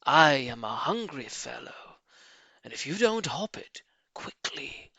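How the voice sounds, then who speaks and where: A man voicing a suave, confident father fox in a storybook, theatrical and deliberate. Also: fairly high pitch, very animated, pushed and bright, husky, dry recording, exaggerated voice. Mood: sly, menacing. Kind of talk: storybook character voice.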